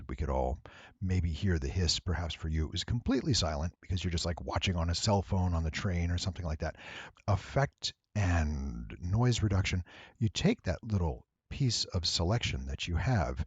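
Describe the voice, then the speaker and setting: A man giving a casual, unscripted software tutorial in a deep, husky voice, with wry, playful asides. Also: dry recording, dull audio.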